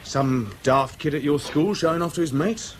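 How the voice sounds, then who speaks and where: A man's voice: a little high, close to an everyday voice. A man playing a British garage mechanic in a radio play, chatty and unfazed. He talks plainly and without hurry, with faint ambient noise behind him. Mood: matter-of-fact. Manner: matter-of-fact, unhurried. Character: chatty, matter-of-fact male garage mechanic. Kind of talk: naturalistic radio drama acting.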